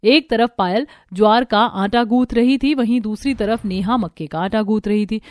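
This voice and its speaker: A woman's voice: a little high, normal speed, a little mellow, dry recording, studio quality. A woman reading scripted narration for a cartoon family story.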